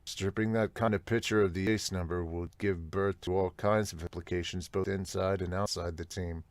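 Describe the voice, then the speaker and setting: A man co-hosting a casual manga reaction podcast, blunt and excitable, given to swearing and reading comments aloud. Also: deep voice, normal speed, no echo. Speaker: podcast co-host reviewing manga.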